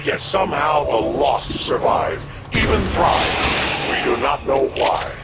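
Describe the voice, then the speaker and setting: An actor playing a grave, formal soldier in a video game, with solemn, stilted phrasing. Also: male voice, on the high side, very animated, slow, over loud music. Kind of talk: scripted video game dialogue.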